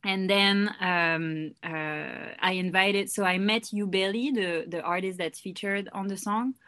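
A woman's voice: medium pitch, measured pace. A young woman from France, a singer talking casually in an interview, full of "like" and "you know". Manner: relaxed, loose, heavy with "like" and "you know". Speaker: singer and songwriter guest.

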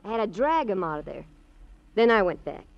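Brassy, wildly expressive gossipy housewife. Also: female voice, mid-range voice, a little fast, vocal fry, dry recording. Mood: animated, indignant. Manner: animated, wisecracking, mimics sounds and phrases. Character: brassy, chatty, grudging housewife, gossipy former neighbor. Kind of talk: 1950s radio crime drama acting. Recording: dull, dry old radio recording.

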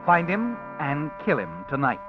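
A man's voice: a little high, huge pitch swings, a little slow. A man narrating a radio drama in an intense, insinuating second-person style, on a muffled old radio recording with music underneath.

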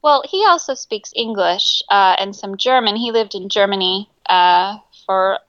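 A woman's voice: medium pitch, unhurried, a bit bright, no echo, highs cut off. A woman musician being interviewed in a casual chat, speaking hesitantly with many ums and easy laughter. Her first language is Greek. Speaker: musician guest.

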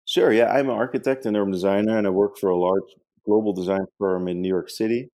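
A Dutch-accented man from the Netherlands, an architect answering interview questions in a halting way with many fillers and repeated words. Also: lowish voice, steady tone, dark tone, dry recording. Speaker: guest author, architect.

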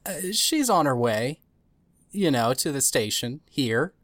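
A woman playing a nervous, hesitant, kindly woman in an audio drama, halting and stammering as she talks. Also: very deep voice, sing-song, dry recording, exaggerated voice. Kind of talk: hobby audio drama acting.

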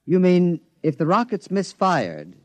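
An actor playing an earnest, resourceful observatory scientist, polite and articulate, in old-time radio drama style. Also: male voice, sits high, wildly swooping pitch, measured pace, darkish tone, no room sound, exaggerated voice.

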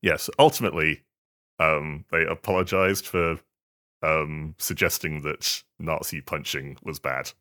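Male podcast co-host. Very low, forceful voice, halting casual conversational delivery. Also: steady tone. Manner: halting, hesitant delivery with stammered repetitions. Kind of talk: casual chat.

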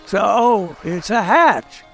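A fussy, grumbling sidekick in a radio mystery. His voice is high for a man and creaky, and he drags his words out very slowly, whining like a reluctant tagalong. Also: lively intonation, faint music behind, exaggerated voice. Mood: whiny, miserable. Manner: whiny, grumbling, dithering. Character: fussy, complaining, reluctant male agent and unwilling sidekick. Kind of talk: old-time radio comedy-mystery acting.

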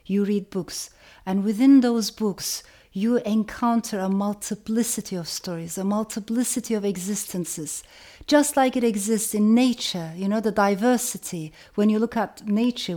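A woman from Turkey giving a reflective educational talk, speaking in a measured way and repeating phrases for emphasis. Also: mid-range voice, warm tone.

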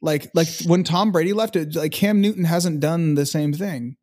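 A man's voice: a little high, normal speed, darkish tone. Rambling young man full of "like" and "dude". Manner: rambling, filler-heavy, says "dude" and "like". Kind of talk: casual chat.

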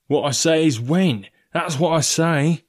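A man voicing an impatient, greedy pirate coxswain from a storybook, growling complaints in rough sailor speech. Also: on the high side, unhurried, voice pushed up. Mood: impatient grumbling.

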